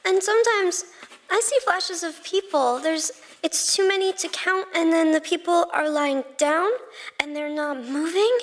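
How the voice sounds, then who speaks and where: A woman voicing a meek, nervous seven-year-old boy who speaks haltingly in a story reading. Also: very high voice. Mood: troubled, hesitant. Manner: halting, timid, stammering. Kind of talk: dramatized fan fiction reading.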